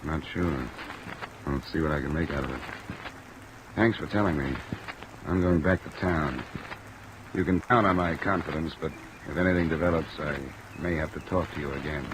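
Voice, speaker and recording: An actor playing a cool, probing insurance investigator in a 1950s radio drama, measured, dry and matter-of-fact. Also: male voice, very deep voice. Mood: guarded, polite. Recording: old radio recording under loud rain noise. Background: steady rain sounds.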